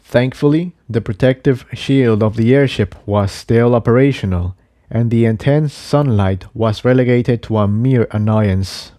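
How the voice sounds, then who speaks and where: A man narrating a scripted adventure story in a measured, dramatic storytelling manner. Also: mid-range voice, dark tone, dry recording, clean studio sound. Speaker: narrator, fan fiction reader.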